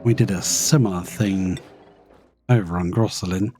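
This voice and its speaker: A man casually commenting on his live gameplay, chatty and thinking aloud as he plays, his voice slightly croaky after being ill. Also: low-pitched, moderate pace, mellow, slight background noise. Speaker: live streamer.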